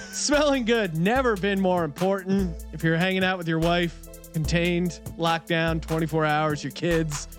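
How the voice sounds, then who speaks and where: A man's voice: fairly high pitch, a little slow, soft background music. A man hosting a casual podcast chat, upbeat and laughing easily. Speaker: podcast host.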